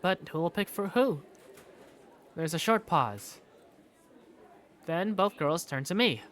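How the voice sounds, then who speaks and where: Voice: medium pitch, animated, takes it slowly, fairly bright tone. A performer voicing an awkward, easily flustered male student who narrates his school day in a hesitant, wry first-person style. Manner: hesitant, wry inner monologue. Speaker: narrator and main character of a visual novel. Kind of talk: first-person visual novel narration and dialogue.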